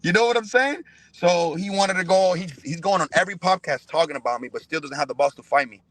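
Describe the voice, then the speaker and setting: Young man, high-pitched voice, dry close sound, profane street slang, emphatic casual interview talk, from Cuba. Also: pushed and bright, no echo. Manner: emphatic, slangy, punctuated with "bro" and "you know what I'm saying". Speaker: professional fighter, podcast guest.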